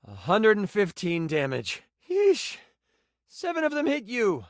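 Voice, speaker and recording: A man voicing an earnest, excitable game master in a video game, narrating fantasy adventure dramatically with hesitant, casual asides. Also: sits high, a little slow, dry recording, clean studio sound, heavily acted. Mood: excited, dramatic. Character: earnest, excitable, slightly flustered male game master character.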